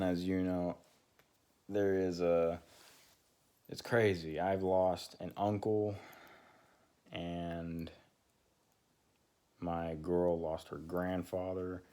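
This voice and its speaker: A young man with a deep voice, talking casually to his channel's viewers and rambling with many fillers and restarts. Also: warm tone, smooth. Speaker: channel co-host. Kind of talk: casual talk to camera.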